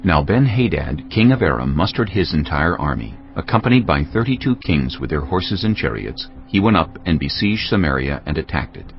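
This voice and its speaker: A man's voice: extremely low pitch, sing-song, normal speed, few pauses. A man narrating a dramatised Bible story as a scripted reading. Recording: dull lo-fi audio with music. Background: background music.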